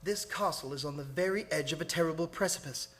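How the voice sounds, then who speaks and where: A man acting an anxious gentleman held prisoner, speaking in earnest, formal, dramatic fashion in an old-time radio horror play. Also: fairly high pitch, fast talker, loud music behind the voice, close to an everyday voice. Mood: uneasy, grave. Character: anxious English gentleman, prisoner narrating his diary.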